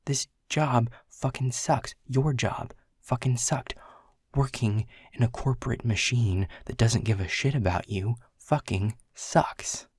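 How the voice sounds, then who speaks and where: A man's voice: medium pitch, slow, a little mellow, slightly rough, no room sound. A man playing a world-weary male middle manager who is blunt and swears, confessing in a half-whisper. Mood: frustrated. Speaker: boss. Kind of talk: audio roleplay acting.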